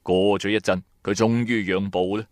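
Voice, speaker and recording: A man with a Cantonese accent narrating an audio drama in a theatrical, expressive storytelling style. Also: fairly low pitch, moderate pace, no echo, clean studio sound.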